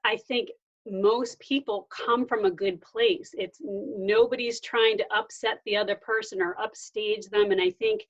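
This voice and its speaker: A woman speaks in a conversational, discussion-style way, as a guest explaining things from experience. Her voice sits at a middling pitch. The recording is slightly dull and lo-fi. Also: measured pace. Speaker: guest sharing workplace experience. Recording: dull lo-fi sound.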